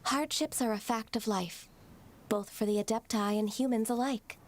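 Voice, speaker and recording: A gentle, dutiful young demigoddess, voiced by a woman in a video game. She speaks softly and with measured earnestness, her voice set a little high. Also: natural voice. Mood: earnest. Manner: soft-spoken, earnest, measured. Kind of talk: game voice acting.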